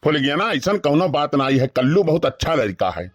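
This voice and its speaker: A man plays a gossipy village uncle in a cartoon comedy. His voice sits a little high for a man and has an Awadhi accent. He cajoles and complains in a close, dry recording. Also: sing-song, fairly quick, no room sound. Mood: defensive.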